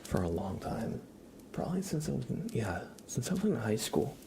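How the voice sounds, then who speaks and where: A young man doing a casual ASMR livestream chat, relaxed and halting, softly spoken and often slipping into whispers. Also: medium pitch, vocal fry, noisy background. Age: young adult. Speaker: ASMR livestreamer chatting with viewers.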